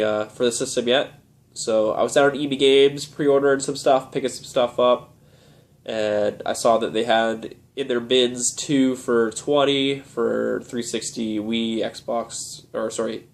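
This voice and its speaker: A man's voice: medium pitch, creaky. Young guy with a cold, nasal and stuffy, rambling casual vlog chat, lots of uhs. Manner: relaxed, rambling, many "uh"s. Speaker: video game collector vlogger. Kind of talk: casual show-and-tell vlog.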